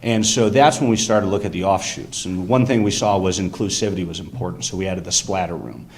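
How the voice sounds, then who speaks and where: A deep-voiced young man speaks up in a council chamber, his voice naturally loud and carrying. He lays out his business plan with eager enthusiasm, moving through details point by point. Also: brisk. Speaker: business owner presenting to a council. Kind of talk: public comment at a meeting.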